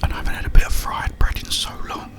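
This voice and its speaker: A man hosting an ASMR video, rambling casually in a breathy, unvoiced whisper with drawn-out phrasing.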